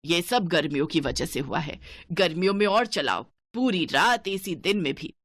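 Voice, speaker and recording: A woman's voice: fairly low pitch, lively intonation, moderate pace, dry recording. A woman voicing a stingy, scolding older mother-in-law in a cartoon story. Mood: grumbling. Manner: bossy, penny-pinching, sharp-tongued.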